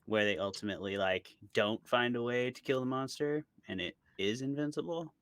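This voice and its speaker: A man's voice: medium pitch, moderate pace, a bit bright, clear, resonant tone, no echo, studio quality. A man being interviewed as an author, chatting casually and thoughtfully about his opinions, often qualifying them with asides. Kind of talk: casual chat about horror tropes.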